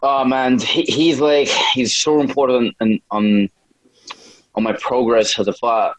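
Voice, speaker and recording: A young man from a small town in Brazil with a Brazilian accent, answering interview questions casually in halting non-native English, often repeating words. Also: medium pitch, fairly even intonation, low-quality recording. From Brazil, small town in the north. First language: Portuguese. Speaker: MMA fighter, podcast guest.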